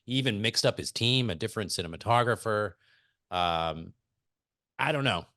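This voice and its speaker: A man's voice: on the low side, animated, normal speed, pushed and bright, dry recording, studio quality. A man hosting a podcast interview, easygoing and laughing often as he steers the conversation with questions. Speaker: podcast host.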